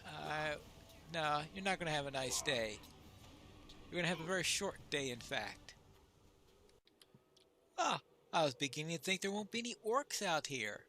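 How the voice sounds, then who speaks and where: Forceful, creaky male gamer commentator. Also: a little high, sing-song, moderate pace, long pauses, soft background music. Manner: wry, musing, mock-polite. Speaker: gamer, playthrough narrator. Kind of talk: casual video game commentary.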